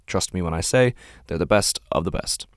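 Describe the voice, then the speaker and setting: A man's voice: low-pitched, moderate pace, no room sound, clean studio sound. A man reading a scripted podcast introduction and content notice as the show's host. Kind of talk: scripted promo and disclaimer read.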